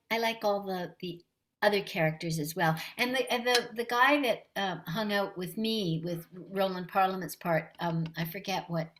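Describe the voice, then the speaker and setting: A woman with a light voice, talking warmly and unhurriedly as a guest in a casual interview. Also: medium pitch. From Canada. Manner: warm, friendly, unhurried. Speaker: voice actress guest.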